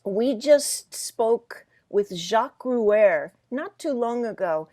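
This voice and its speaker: A woman interviewer asking a guest questions in a relaxed way over a video call. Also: fairly high pitch, slow.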